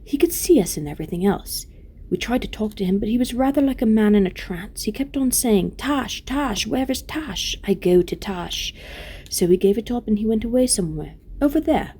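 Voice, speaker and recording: A woman voicing a tender-hearted young girl queen in a storybook reading, pleading earnestly and gently. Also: a little high, animated, normal speed, slightly rough, voice pushed up.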